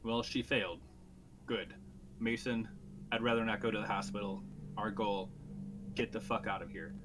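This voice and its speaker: A man's voice: lowish voice, steady tone, normal speed, natural voice, poor audio. A man playing a blunt, commanding space marine captain in a tabletop roleplay, giving decisive, sweary orders. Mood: firm, resolute. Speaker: player.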